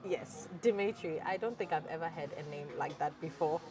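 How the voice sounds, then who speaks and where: Brief: Ghanaian female interviewer, chatty, mid-pitched, music under the voice. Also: fairly quick. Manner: chatty, laughs easily, stumbles over questions. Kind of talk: casual interview. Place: restaurant table, by the sea.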